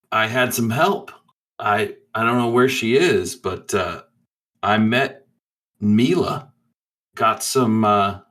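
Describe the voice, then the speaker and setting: A man roleplaying in a tabletop game, telling his story in a halting, laid-back, profane way. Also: mid-range voice, a bit bright. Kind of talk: casual in-character storytelling.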